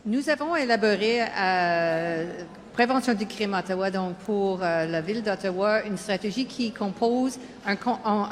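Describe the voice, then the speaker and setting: A woman speaking in a measured, formal way as a crime prevention official on a panel, with some grammar slips. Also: mid-range voice. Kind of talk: panel discussion. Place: public forum in a college hall. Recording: reverberant hall, faint room noise.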